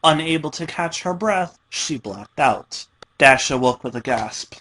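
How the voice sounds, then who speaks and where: A man's voice: on the high side, expressive, unhurried, bright, forceful tone. A young adult man narrating a fan fiction story as an amateur dramatic reading.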